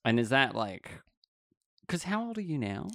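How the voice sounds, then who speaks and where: A man's voice: mid-range voice, sing-song, moderate pace, fairly bright tone, no room sound, clean studio sound. A man in his thirties hosting a casual interview, chatty and rambling, often laughing at himself. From Sydney, Australia. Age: 30s. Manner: chatty, rambling, self-mocking with frequent laughs. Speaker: podcast host.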